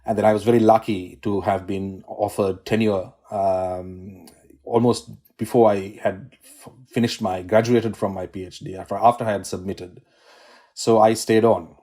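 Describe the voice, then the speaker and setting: A man from Sri Lanka with a low, mellow voice, speaking as a constitutional law academic in his forties. He answers in a measured, thoughtful way, with frequent "um"s and self-corrections, over a video call. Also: steady tone. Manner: measured, academic, many "um"s and restarts. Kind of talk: conversational interview answers.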